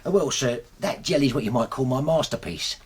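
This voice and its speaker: An actor with a British accent playing a deferential, rambling household manservant in a radio drama, speaking colloquially. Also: male voice, medium pitch, a little fast, slightly rough, close to an everyday voice.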